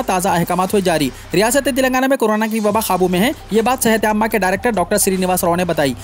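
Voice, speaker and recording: A man's voice: sits high, a little hiss or hum. A man reading scripted news in a formal register, announcing headlines and reports as a presenter. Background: background music.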